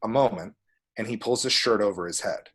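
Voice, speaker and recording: A man reading screenplay action descriptions aloud at a table read, with a husky voice. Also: lowish voice, a little fast, fairly bright tone.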